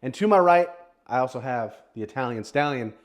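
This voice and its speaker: A man's voice: medium pitch, animated, fairly quick. Jokey male podcast host, casual banter.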